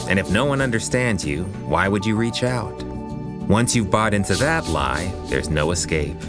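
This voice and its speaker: An actor playing a solemn, philosophical narrator in an audio drama, delivering dramatic scripted narration. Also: male voice, lowish voice, close to an everyday voice. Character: solemn, philosophical male narrator brooding on loneliness.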